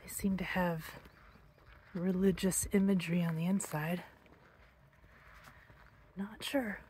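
A woman's voice: fairly low pitch, fairly even intonation, slow, darkish tone. A middle-aged woman chatting casually and musingly to her phone as she films a hiking vlog outdoors. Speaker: hiking vlogger. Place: outdoors on hillside forest trails. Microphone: handheld phone at arm's length. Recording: outdoor phone audio with background noise.

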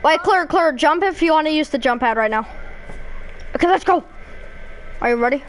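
A woman chatting casually while playing an online shooter, excitable and teasing. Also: sits high, normal speed, slight background noise. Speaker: gamer. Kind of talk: casual gaming chat.

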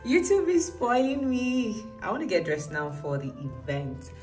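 A woman's voice: fairly high pitch, very animated, measured pace, a little mellow, soft background music. A young adult woman with a Nigerian accent, chatting casually and expressively to her travel vlog on a phone. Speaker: vlogger. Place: hotel rooms, indoors. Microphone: phone at arm's length.

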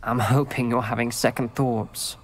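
A man's voice: mid-range voice, fairly even intonation, normal speed, natural voice. A man playing a troubled, principled teenage schoolboy wizard in a video game, speaking with a British accent in a formal, earnest way. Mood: reluctant. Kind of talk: dramatic video game voice acting.

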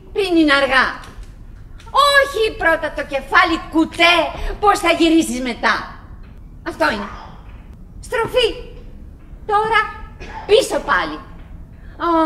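A high voiced chatty wife, theatrical radio drama, rambles to herself, wistful but clinging to cheer. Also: female voice, fairly bright tone, a little hiss or hum. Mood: bossy, coaxing. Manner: rambling, self-prompting, swooping between coaxing and musing. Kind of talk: theatrical radio play monologue.

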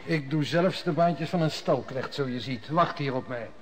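An actor playing a dutiful, formal court steward in an old radio play. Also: male voice, medium pitch, slight background noise, close to an everyday voice. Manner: formal, measured.

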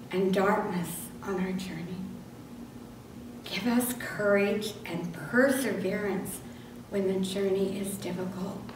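An older woman reading liturgy and scripture aloud in a solemn, deliberate way as a lay reader. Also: medium pitch. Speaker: lay reader in church service. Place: medium-sized church sanctuary, indoors. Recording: very echoey church, distant mic, noisy.

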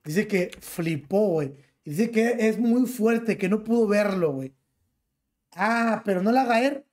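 A man's voice: high-pitched, a little slow, darkish tone. A young man with a Mexican accent, streaming from his bedroom through a gaming headset and ranting as he reacts to clips, piling slang onto every phrase. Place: small bedroom.

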